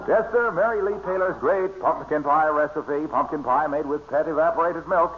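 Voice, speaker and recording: An actor playing a jovial American announcer friend in an old radio comedy, with a polished, enthusiastic sales delivery. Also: male voice, a little high, moderate pace, close to an everyday voice. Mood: gushing, salesy. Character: jovial, hearty pitchman friend who slips into sales talk. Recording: muffled old-time radio recording, faint noise.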